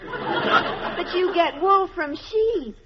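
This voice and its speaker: A woman's voice: very high voice, expressive, very slow, over a noisy crowd, heavy background noise, heavily acted. An actress playing a sweet, gullible young college girl with a Southern American accent and girlish drawl in old-time radio comedy. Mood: curious, sympathetic. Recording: muffled vintage radio, audience behind.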